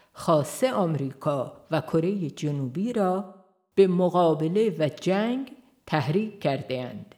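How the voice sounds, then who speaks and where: A woman reading a nonfiction audiobook aloud in a measured, earnest manner, posing rhetorical questions as she goes. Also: on the low side. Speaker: audiobook reader. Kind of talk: scripted nonfiction narration.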